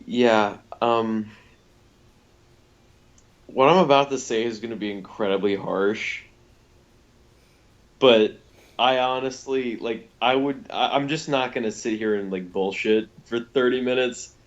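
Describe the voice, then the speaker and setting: Blunt, halting man on slightly dull audio. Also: mid-range voice. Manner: blunt, halting, swears casually. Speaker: podcast co-host. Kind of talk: casual critical review chat.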